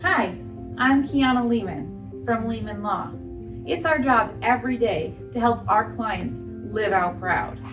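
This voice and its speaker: A woman's voice: fairly high pitch, animated, a little slow, recorded from a distance, music in the background. A woman from a law firm giving a friendly, inviting promotional greeting. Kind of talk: scripted promotional message.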